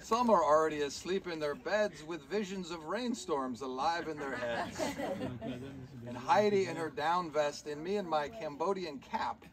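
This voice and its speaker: A man's voice: on the high side, a little slow, over a noisy crowd, natural voice. A man voicing a grumbling puppet who recites comic rhymes theatrically in a noisy live puppet show. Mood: playful. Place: live talent show, recorded from the audience.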